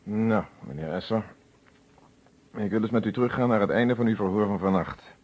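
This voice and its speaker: An actor playing a composed, methodical police inspector in a Dutch detective radio play. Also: male voice, on the low side, moderate pace, breathy edge. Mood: formal, probing. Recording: muffled old radio recording.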